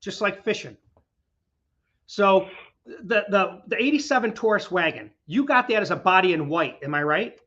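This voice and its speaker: Guy hosting from his garage, high voice, kinda rambly, still getting his voice back, muffled lo-fi sound. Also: expressive, normal speed, sharp tone. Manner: chatty, rambling. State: recovering from losing his voice. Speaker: host. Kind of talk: casual interview. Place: his garage, windy outdoors.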